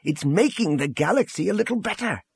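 A man's voice: high voice, animated, fairly quick, vocal fry, husky, dry recording, voice pushed up, heavily acted. A man voicing a stern, earnest general in an audiobook, blunt and pressing in his indignation. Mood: stern, pleading.

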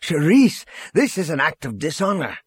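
A man's voice: fairly high pitch, very animated, normal speed, slightly rough, no room sound, voice pushed up, exaggerated voice. A man voicing a stern, earnest general in an audiobook, blunt and pressing in his indignation. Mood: stern, pleading.

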